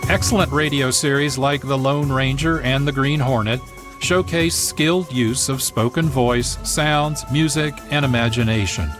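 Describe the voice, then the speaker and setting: A man hosting a radio programme, reading scripted narration in a measured, formal way. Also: mid-range voice, over music. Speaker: radio show host.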